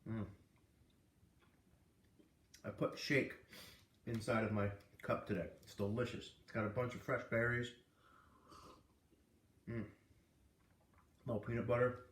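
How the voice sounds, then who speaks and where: A man reading a children's novel aloud in an expressive, animated way, with casual asides. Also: mid-range voice, measured pace, pauses often. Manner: animated, puts on character voices. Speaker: read-aloud host. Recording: roomy, distant microphone.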